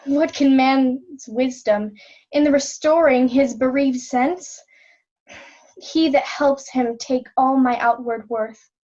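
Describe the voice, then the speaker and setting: A woman playing a devoted, grieving young queen in an amateur Shakespeare reading, speaking earnest, measured verse. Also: sits high, darkish tone, highs cut off. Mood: troubled. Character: grieving, devoted young queen Cordelia seeking her mad father. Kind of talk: amateur Shakespeare reader's theatre.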